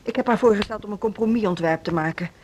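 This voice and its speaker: An actress in a Dutch radio play playing a mild, conciliatory middle-aged school director, measured and husky, with a Netherlands accent. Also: female voice, mid-range voice, a little mellow, slight background noise, natural voice. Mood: concerned. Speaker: radio drama actor.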